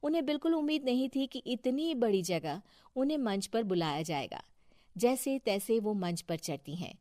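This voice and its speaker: A woman's voice: on the high side, very animated, moderate pace, dark tone, dry recording. Dry, close woman storyteller. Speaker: narrator. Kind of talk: scripted story narration.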